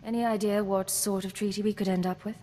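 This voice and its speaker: A woman performing a cool, self-possessed secret agent in a sci-fi game. She is direct and wry, with a medium-pitched voice. The audio has long reverb and faint noise. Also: flat delivery, quick pace, mellow, natural voice. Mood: wry, curious. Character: poised, wry, affectionate female imperial secret agent. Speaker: player character in a video game. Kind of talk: scripted game dialogue.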